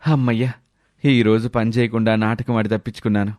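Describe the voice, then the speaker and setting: A man's voice: lowish voice, little pitch movement, brisk, darkish tone, no echo, studio quality, lightly acted. A man playing a lazy village husband who complains and wheedles his way out of things in a storybook tale. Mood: relieved. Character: lazy, whining village husband full of excuses. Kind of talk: storybook character voice.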